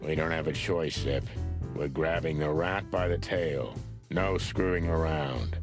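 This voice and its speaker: An actor playing a world-weary, terse rooster detective in a film-noir adventure game. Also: male voice, a bass voice, unhurried, music in the background. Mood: grim, firm. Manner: terse, hard-boiled, dry.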